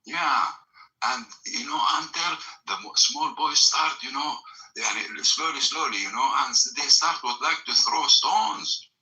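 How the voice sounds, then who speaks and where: Emphatic man, bright tense voice. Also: on the high side, expressive, unhurried, rough recording. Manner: broken English with many fillers, emphatic. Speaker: storyteller recounting family memory. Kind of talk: emotional personal storytelling.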